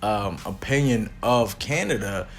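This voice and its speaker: Man, 30s, deep-ish casual co-host who meanders through his points. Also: unhurried, faint music behind. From United States. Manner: rambling, frequent "you know" fillers. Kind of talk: casual chat.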